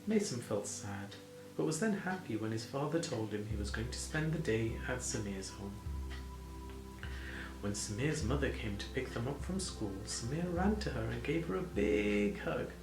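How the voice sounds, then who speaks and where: Animated man reading a children's bedtime story. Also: mid-range voice, moderate pace. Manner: addressing small children, asking them questions. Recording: roomy, with prominent music behind.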